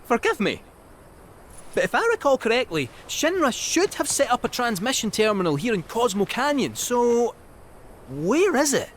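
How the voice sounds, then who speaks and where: Jaunty game creature, animated swooping delivery, theatrical, clear noise behind. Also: male voice, very high voice, normal speed, heavily acted. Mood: businesslike. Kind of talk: video game character acting.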